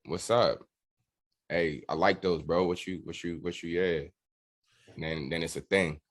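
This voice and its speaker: A man's voice: low voice, steady tone, measured pace. An American man giving a casual interview as a guest, telling stories in a candid, slangy way. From Washington DC area, United States. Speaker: musician guest, drummer.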